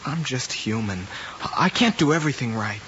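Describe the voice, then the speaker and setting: A man's voice: medium pitch, expressive, moderate pace, lo-fi sound, natural voice. An actor with an American accent playing a troubled, guilt-ridden teenage boy, earnest and hesitant, in a hissy old television drama. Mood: defensive. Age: young adult. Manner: earnest, hesitant, clipped replies. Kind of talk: mid-century American television drama acting.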